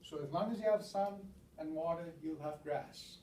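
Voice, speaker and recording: Man, first language Stoney, elder teacher, slow halting talk, fairly high voice, echoey and distant. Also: warm tone, slight background noise. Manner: repeats words, counts aloud, halting restarts. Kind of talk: informal spoken teaching.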